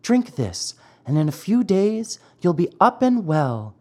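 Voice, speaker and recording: A narrator voicing a gentle, motherly fairy in a children's storybook, loving, patient and coaxing but firm. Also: mid-range voice, very animated, measured pace, husky, voice pushed up.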